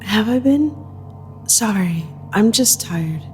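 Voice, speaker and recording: A woman's voice: medium pitch, lively intonation. A woman voices a timid young female assistant in an audio drama. Her speech is shaky and breathless, hesitant and eager to defer, with music running underneath. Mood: meek, tired. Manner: hesitant, halting.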